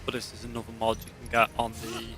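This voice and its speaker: Man with flat, even delivery over music. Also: mid-range voice, quick pace. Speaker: gameplay commentator. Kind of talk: casual let's play commentary. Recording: game music behind the voice. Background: video game music.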